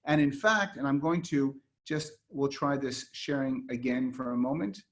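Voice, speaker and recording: A man's voice: mid-range voice, normal speed, fairly bright tone. A man from Israel leads an online history lecture over a video call, explaining in a conversational way with frequent fillers and restarts. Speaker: lecturer and guide for an online series.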